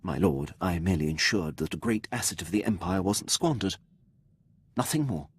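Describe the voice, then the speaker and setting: An actor playing a dutiful, formal military officer in a video game, deferential and precise, with clipped phrasing. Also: male voice, sits low, lively intonation, moderate pace, slightly rough. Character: dutiful, formal male imperial military officer, loyal subordinate. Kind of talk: video game character acting.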